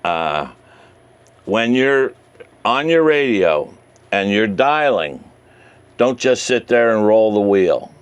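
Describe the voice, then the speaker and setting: A man's voice: on the low side, sing-song, slow, long pauses, smooth, no room sound. A man presenting an informal, encouraging tutorial as an enthusiastic radio hobbyist, walking through things step by step. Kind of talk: informal screen-share tutorial.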